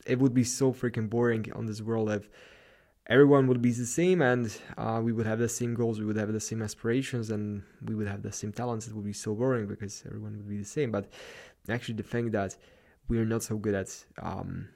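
A man's voice: on the low side, steady tone, a little fast, vocal fry, a little mellow. A man with a Slavic accent hosting a solo podcast, giving a loose, rambling pep talk late at night in his apartment. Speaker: podcast host. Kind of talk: solo motivational podcast monologue.

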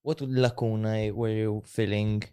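A man's voice: fairly low pitch, flat delivery, measured pace, dark tone, clean tone, no echo, studio quality. A young man co-hosting a podcast, asking questions in casual chat and reaching for the odd formal word. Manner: asks questions, uses formal words. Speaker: podcast co-host.

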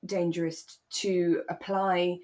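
A woman co-hosting a podcast in relaxed, reflective, conversational chat. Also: fairly low pitch, takes it slowly. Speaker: podcast co-host. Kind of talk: relaxed casual chat about a radio drama.

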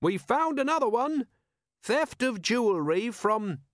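Man doing an unflappable, polite police captain, lifted pitch. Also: very animated, moderate pace, no room sound, studio quality. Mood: bright, encouraging. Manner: very polite, level, earnest. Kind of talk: audiobook character voice.

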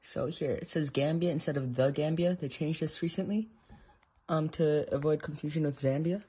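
A presenter of indeterminate sex speaks at a middling pitch with a husky, slightly rough voice. There is a slight lisp on the microphone. The delivery is casual and unscripted, like someone showing items as they go, halting and correcting themselves. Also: warm tone. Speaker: presenter showing an old atlas. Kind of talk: casual unscripted show-and-tell.

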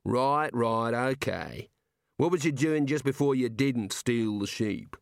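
A man's voice: mid-range voice, expressive, slow, creaky, no room sound, clean studio sound. A man voicing a nosy, obliging amateur ballad writer in a comic audiobook, colloquial. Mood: eager, placating. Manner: colloquial, eager.

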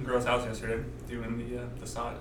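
A man's voice: mid-range voice, monotone, brisk, a bit bright, echoey room, far from the mic, slight background noise. A young man, a podcast guest, rambling casually through a story with lots of fillers. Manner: rambling, filler-heavy explaining.

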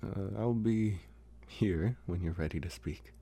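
A man playing a smitten young college vampire in an intimate, hesitant, soft-spoken ASMR roleplay. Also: low-pitched, vocal fry, husky, full character voice. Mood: awkward, coaxing. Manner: hesitant, soft-spoken, slow with long pauses. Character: young male college vampire, smitten, calm, gently possessive. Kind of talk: ASMR roleplay, intimate one-sided dialogue.

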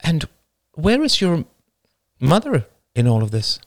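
A man plays a young woman in an audiobook story, soft and lifted in pitch. She is earnest, concerned and gently probing, with a lilting delivery. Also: wildly swooping pitch, unhurried, no echo, clean studio sound, heavily acted. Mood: gentle, curious.

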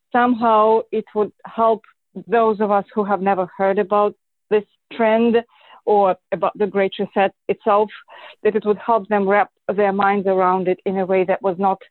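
A woman's voice: medium pitch, no echo. A woman from Russia, a podcast guest, speaking effusively and earnestly over a remote call. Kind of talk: podcast interview. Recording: tinny phone-like line, dry.

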